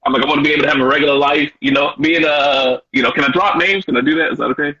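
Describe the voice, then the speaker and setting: A bright, husky-edged male voice tells loose, slangy stories full of "you know" and "man". He is a stand-up comedian from Washington, D.C., in his thirties, talking as a guest. The audio is dull and lo-fi. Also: on the high side, fairly even intonation, rapid-fire. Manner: laid-back slangy storytelling with frequent "you know". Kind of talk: casual interview chat.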